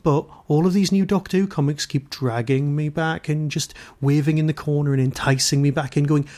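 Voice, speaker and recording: A man with a voice a little higher than average hosts a podcast. He talks in a casual, chatty way, rambling as he goes and happy to poke fun at himself. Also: fairly even intonation, brisk, mellow. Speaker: podcast host.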